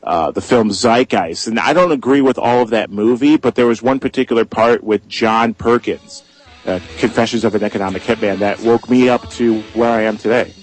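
A young man in his twenties, a freelance journalist guest on a radio interview, speaking eagerly through a hoarse voice and many "uh" fillers. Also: fairly low pitch, normal speed, faint music behind, low-quality recording.

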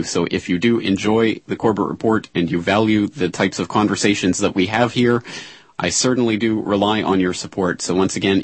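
A man hosting an interview program, talking at a measured pace with frequent filler words. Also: low-pitched, slightly rough, dry recording. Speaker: interview show host. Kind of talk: talk show monologue and interview.

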